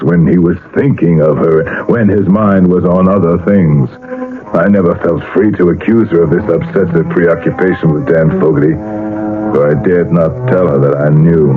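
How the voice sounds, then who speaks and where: A man's voice: sits low, narrow, tinny audio, lightly acted. An actor playing a possessive, agitated middle-aged father, emphatic and dramatic, in a 1970s radio drama. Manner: emphatic, dramatic, repeats phrases for weight. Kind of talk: 1970s radio drama acting and first-person narration. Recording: noisy, band-limited old radio recording.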